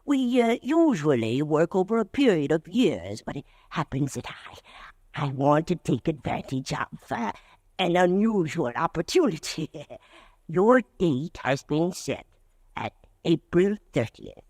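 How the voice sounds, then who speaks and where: An eerily courteous bringer of retribution, stammering softly and chuckling to himself. His pitch swoops widely. The old radio recording is dry and close. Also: very animated, measured pace, no echo, heavily acted. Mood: sinister. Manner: silky, giggling, hesitant with "uh". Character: creepy polite male retribution agent, menacing. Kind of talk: 1940s radio acting.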